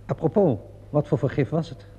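An actor playing a calm, sceptical police inspector in a radio play, questioning in a measured, dryly ironic way. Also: male voice, on the high side, slightly rough. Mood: composed, polite. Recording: dull, muffled old radio recording.

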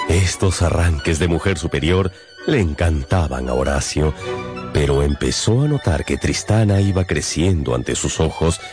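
A man narrating a radio novel in a theatrical, measured and wry manner. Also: extremely low pitch, lively intonation. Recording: music behind.

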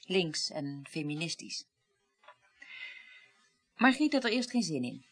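A woman's voice: low-pitched, big pitch swings, takes it slowly, husky, exaggerated voice. An actress playing a detached, wry female former reporter in a radio play, speaking articulately and dryly. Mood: matter-of-fact. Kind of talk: 1980s Dutch radio play acting.

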